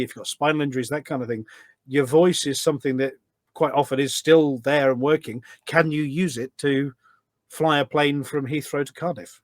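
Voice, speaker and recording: A man speaks as an interview guest in a dry, close recording. His pitch is average and his delivery is keen and explanatory, walking through points step by step and often framing them as questions. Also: no echo. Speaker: podcast guest, voice pack developer. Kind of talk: enthusiastic explanatory interview chat.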